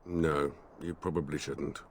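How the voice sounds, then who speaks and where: A man's voice: extremely low pitch, fairly even intonation, heavy background noise. An actor playing a solemn spirit in a dead man's body, speaking formally and stiffly in a video game. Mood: formal, deadpan. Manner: formal, stiff, measured speech.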